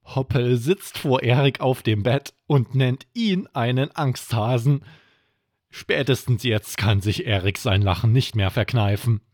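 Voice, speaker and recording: Male narrator for children's bedtime tales: slow, gentle delivery, very dry close sound. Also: mid-range voice, no echo. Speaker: storyteller, podcast host.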